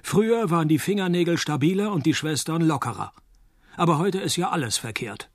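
An actor playing a cynical, wisecracking male private detective in a radio play, narrating and talking in a dry, laconic deadpan. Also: a little high, a little fast, no echo. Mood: dry, sardonic.

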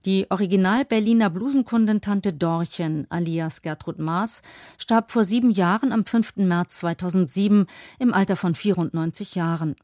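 Mellow-voiced woman presenting on radio. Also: mid-range voice, moderate pace, dry recording, clean studio sound. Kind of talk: scripted radio introduction. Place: dry radio studio.

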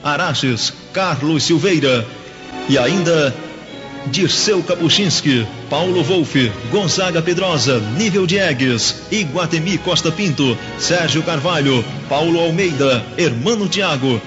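A Brazilian man reading formal radio credits as an announcer, in an emphatic, measured way. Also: a little high, animated. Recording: dull radio recording under loud music.

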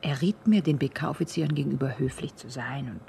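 Pensive young woman, dark creaky voice. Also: very deep voice, a little fast, a little hiss or hum. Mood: pensive, uneasy. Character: pensive, nervous young woman confiding in her boyfriend. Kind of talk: German radio drama acting.

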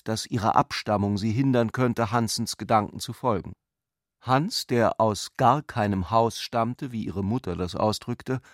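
A man narrating a literary radio drama in a measured, articulate way. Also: mid-range voice, no echo, clean studio sound.

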